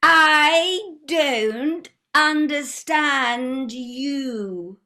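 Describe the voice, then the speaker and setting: A woman's voice: sits high, extremely slow, sharp tone, exaggerated voice. A woman playing a baffled, exasperated society wife in a period stage comedy, speaking emphatically. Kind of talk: theatrical period comedy play reading.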